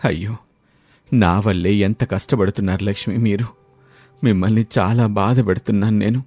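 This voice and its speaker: A man playing a poor, hardworking husband, speaking earnestly and gently in a dramatized story. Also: on the low side, steady tone, normal speed, husky.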